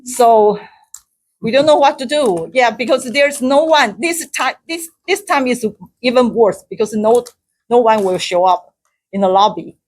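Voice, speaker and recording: Halting Taiwanese woman telling a story. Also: low-quality recording. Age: middle-aged. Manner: halting, with many restarts and repeated words; laughs often, gets high and loud when excited. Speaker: club speaker. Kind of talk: personal story in a speaking club.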